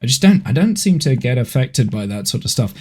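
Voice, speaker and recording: A man's voice: mid-range voice, sing-song, a little fast, a little mellow, slightly rough. A man in his thirties with a head cold, chatting casually and rambling as he streams a horror game from home. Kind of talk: casual gameplay chat on a live stream.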